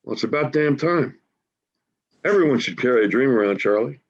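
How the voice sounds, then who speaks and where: Through slightly dull, lo-fi call audio comes a man acting a big-hearted, folksy homeless dreamer. His husky voice swings up and down with coaxing enthusiasm, as in a stage play reading. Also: mid-range voice, animated, brisk. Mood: earnest, coaxing. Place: remote reading over video call.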